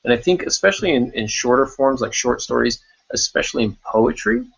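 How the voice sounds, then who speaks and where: A man's voice: medium pitch, sing-song, a little fast, vocal fry, fairly bright tone, breathy edge, lo-fi sound. A man hosting a writing podcast in casual unscripted talk, thinking aloud and repeating words as he goes. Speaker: podcast host discussing writing craft.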